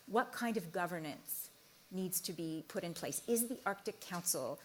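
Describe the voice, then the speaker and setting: A woman moderating a panel discussion on a conference stage, asking measured, probing questions. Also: fairly low pitch, lively intonation, slight background noise.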